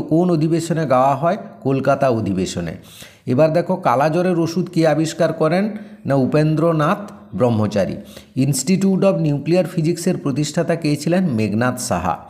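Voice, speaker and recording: A man's voice: on the high side, a little mellow. A man teaching exam revision, reading out questions and answers and directly instructing his students as he goes. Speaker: exam-prep teacher and presenter.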